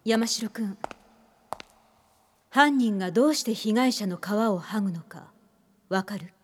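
An actress playing a composed, analytical senior detective in a radio drama, speaking deliberately and with authority. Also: female voice, mid-range voice, lively intonation, a little hiss or hum, lightly acted.

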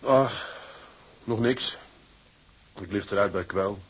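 A man's voice: on the low side, slow, husky. A man acting in a radio drama as an earnest, plain-spoken seaman out of work but hopeful. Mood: resigned, hopeful.

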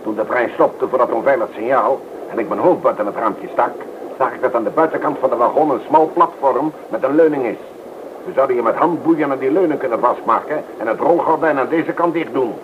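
A resolute gentleman traveller acted by a man explains himself with confidence in a radio play. His medium-pitched voice comes through narrow, phone-like audio with noise behind it. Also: fairly quick, close to an everyday voice. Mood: smug, explaining. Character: resolute, scheming gentleman train passenger with a title. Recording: thin phone-like audio, clear background noise.

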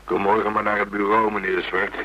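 Low-voiced, dogged police inspector. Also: male voice, little pitch movement, fast talker, lo-fi sound. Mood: sardonic. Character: gruff, dogged, sardonic male police inspector. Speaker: actor in a radio drama. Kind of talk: radio drama dialogue.

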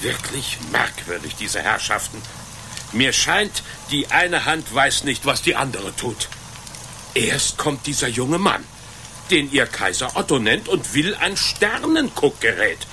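An actor playing a simple, good-natured, baffled medieval blacksmith in a German radio play, hesitant and folksy. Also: male voice, mid-range voice, big pitch swings, unhurried, sharp tone, a little hiss or hum, full character voice. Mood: bemused.

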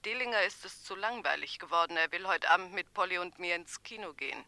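Cool informant lady, very bright sharp voice, all business, radio drama. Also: on the low side, fast talker. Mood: businesslike. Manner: matter-of-fact, businesslike. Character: cool, calculating female informant.

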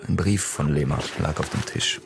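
A blunt working-class comrade in a radio crime drama. His voice is very low and full of gravel, and he talks in terse, gloomy, colloquial phrases over faint music. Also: normal speed. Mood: grim, dry.

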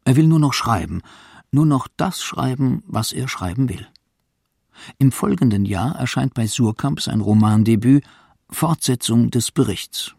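A man's voice: medium pitch, expressive, measured pace, gravelly, a little mellow, breathy edge, no echo, clean studio sound. A man narrating a scripted radio documentary.

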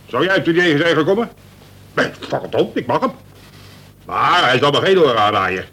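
A man acting a blustering, exasperated colonel who runs a prison in a radio play, gruff and commanding. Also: fairly high pitch, lively intonation, normal speed, bright, forceful tone, heavily acted. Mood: grudging admiration.

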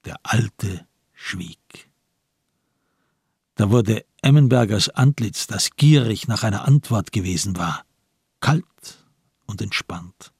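Very husky, slow male narrator. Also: fairly low pitch, animated, darkish tone, no echo, studio quality. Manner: measured, grave, sparse phrasing. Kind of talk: scripted radio drama narration.

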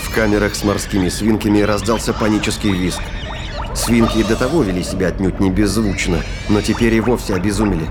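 Casting: male narrator, lowish voice, scripted dramatic thriller storytelling, set over prominent music. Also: steady tone, fairly quick. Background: prominent music and sound effects.

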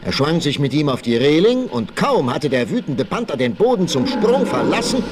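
An actor narrating a western adventure in an emphatic, suspenseful style for a 1960s radio play. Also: male voice, fairly high pitch, very animated, normal speed. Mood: dramatic, gripping. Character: dramatic storyteller of a western adventure. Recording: vintage vinyl radio play, faint noise.

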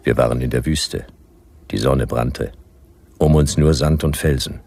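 The bottomless, creaky-voiced private eye of a late-night sci-fi radio serial, terse, weary and deadpan. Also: male voice, extremely low pitch, unhurried, soft background music, full character voice. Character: laconic, world-weary male private detective of the future. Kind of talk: first-person radio drama narration.

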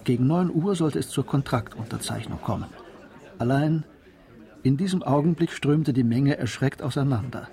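A man with a husky voice reading scripted narration for a radio drama. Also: medium pitch, moderate pace, dark tone.

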